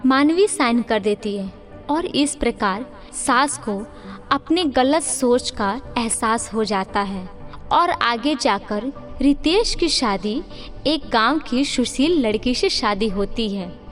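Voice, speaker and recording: A woman narrates a scripted moral story in a very high voice. She takes her time over each phrase, with long reverb and faint music around her. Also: expressive, slow, fairly bright tone. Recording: reverberant hall-like sound.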